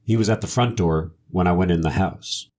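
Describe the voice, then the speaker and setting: A man's voice: low-pitched, moderate pace, a little mellow. A man playing a police officer on the witness stand in a courtroom drama reading, giving guarded, clipped, matter-of-fact answers. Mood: guarded, noncommittal. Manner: clipped, formal, noncommittal answers. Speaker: voice actor reading a trial transcript.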